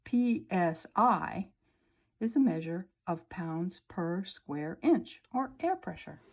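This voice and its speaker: A woman teacher reading a children's science book aloud, slowly and clearly, at a table indoors. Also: on the low side, lively intonation. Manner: slow, clear storybook reading. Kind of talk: read-aloud lesson for children.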